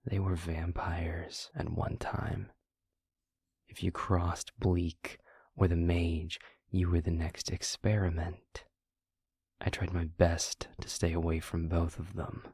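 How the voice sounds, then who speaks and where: A hoarse-sounding, low vampire outcast played by a man in a fantasy audio drama. He drags out his words in a breathy, gravelly near-whisper, sarcastic and hostile. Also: level tone, takes it slowly, darkish tone, no room sound, studio quality, heavily acted. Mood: bitter, grudging. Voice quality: breathy, very raspy, half-whispered. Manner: sarcastic, belligerent, grudging. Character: bitter, defiant, sarcastic outcast male vampire informant. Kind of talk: acted fantasy audio roleplay.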